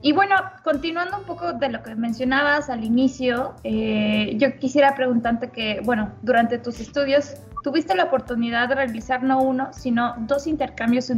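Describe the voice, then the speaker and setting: A woman from Mexico co-hosting a podcast, asking questions in a friendly, measured way. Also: high-pitched, dull audio, faint music behind. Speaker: podcast co-host. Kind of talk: interview questions.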